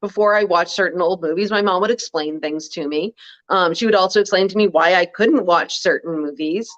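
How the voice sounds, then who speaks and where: Woman, deep voice, wry and blunt, casual co-host, a bit dull and lo-fi. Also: a little fast, a bit bright. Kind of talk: casual political chat.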